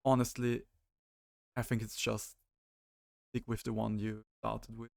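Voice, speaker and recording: A man's voice: fairly low pitch, level tone, measured pace, creaky, darkish tone, dry recording. A man hosting a casual chat about video games, rambling and hesitant, his mic cutting out now and then. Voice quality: rambling, hesitant, many restarts. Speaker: host. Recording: close mic with dropouts.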